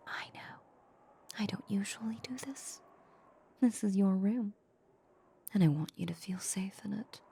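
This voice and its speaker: A woman playing a tender, possessive vampire countess in an intimate ASMR audio drama, speaking in a soft, breathy, coaxing whisper. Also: mid-range voice, full character voice. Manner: slow, coaxing, intimate murmur.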